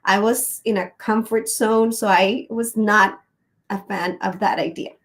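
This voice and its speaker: A middle-aged woman presents a live webinar into a computer microphone. She speaks unhurriedly and at a mid-range pitch. She tells personal stories in a friendly way, with plenty of ums. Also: steady tone, unhurried, fairly bright tone. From Costa Rica.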